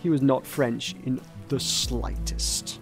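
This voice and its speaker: A man's voice: medium pitch, steady tone, slow, vocal fry, over music. A man with a British accent playing through a visual novel and reading it aloud, with joking, sarcastic asides. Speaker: let's player. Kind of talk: gameplay commentary.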